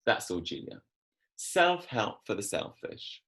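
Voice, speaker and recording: A flippant, theatrical male personal assistant voiced by an actor in a drama. He speaks slowly and haltingly, with wry exasperation and very lively pitch. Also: creaky, heavily acted. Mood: sardonic. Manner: sardonic, halting. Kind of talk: scripted drama table read. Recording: video call.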